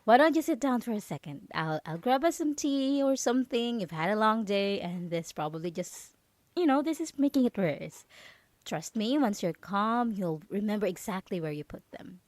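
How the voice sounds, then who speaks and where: A woman's voice: mid-range voice, animated, moderate pace, a little mellow, dry recording, natural voice. A woman playing a possessive, overprotective roommate in an ASMR roleplay, stammering nervously as she pleads and coaxes. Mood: nervously placating. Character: possessive, overprotective yandere roommate, clingy and manipulative.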